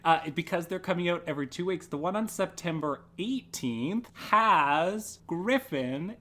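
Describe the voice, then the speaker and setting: A man's voice: high voice, expressive, unhurried, pushed and bright. Man, casual podcast guest. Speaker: podcast guest, theatre maker. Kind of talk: casual interview chat.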